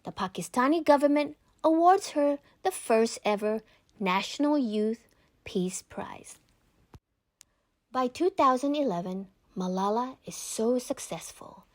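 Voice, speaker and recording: Expressive woman reading a storybook aloud. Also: sits high, measured pace, dry recording, studio quality. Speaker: children's book read-aloud narrator. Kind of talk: scripted storybook narration.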